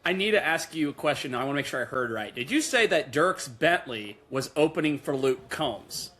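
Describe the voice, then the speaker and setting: A man's voice: on the high side, lively intonation, moderate pace, fairly bright tone. An American man in his thirties from Missouri co-hosting a podcast over a remote connection, chatting casually and repeating phrases for emphasis. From Missouri, United States. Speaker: podcast co-host. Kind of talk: casual catch-up chat. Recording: noisy remote internet connection.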